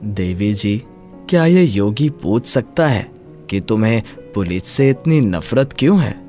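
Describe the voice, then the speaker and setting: A man voicing an easygoing, burly young man who speaks politely and confidently in an animated moral story. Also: fairly low pitch, a little slow, faint music behind, pitched below the performer's own voice. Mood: cool, curious.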